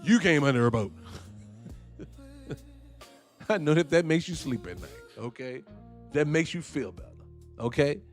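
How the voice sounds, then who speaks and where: A young adult man hosting a talk show, delivering heated, profane commentary with rambling tangents. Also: on the high side. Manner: profane, animated, ranting with tangents. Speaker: host.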